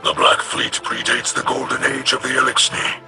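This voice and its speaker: A man voicing a proud alien warrior-noble in a video game, formal and solemn, with a breathy, hoarse rasp. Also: mid-range voice, completely flat delivery, pushed and bright. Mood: grave. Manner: formal, solemn, measured diction. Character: grave, proud male alien warrior-noble of a fallen house. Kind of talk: scripted video game voice acting. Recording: game music behind the voice.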